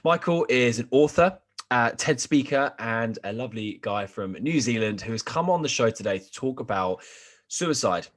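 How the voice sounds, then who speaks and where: A man's voice: medium pitch, animated, normal speed. A man hosting a podcast interview, asking friendly, rambling questions. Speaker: podcast host.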